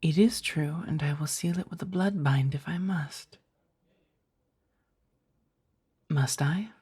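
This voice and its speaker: A woman voicing an ancient, protective vampire in an ASMR roleplay, with a breathy husky voice and a measured, formal, hushed delivery. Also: low-pitched. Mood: tense, bargaining. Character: ancient female vampire, protective, stern, tender to her beloved. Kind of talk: ASMR roleplay audio drama.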